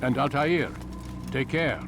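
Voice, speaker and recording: An actor playing a solemn leader of an assassin order in a game, speaking formally and with measured weight. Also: male voice, medium pitch. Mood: grave, commanding. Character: male leader of an assassin order, grave and commanding mentor. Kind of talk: dramatic game cutscene acting. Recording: loud background music.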